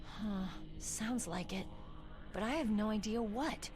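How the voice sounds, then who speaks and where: A woman's voice: mid-range voice, a little slow, close to an everyday voice. Woman acting earnest young rookie soldier. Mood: matter-of-fact. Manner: earnest, dramatic. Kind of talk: dramatic video game voice acting. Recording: game audio under background music.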